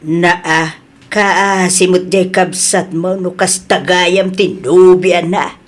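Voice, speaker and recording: A woman's voice: fairly low pitch, fairly even intonation, slow. A woman with a Filipino Ilocano accent playing a patient, worried middle-aged mother in a comedy drama. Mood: puzzled.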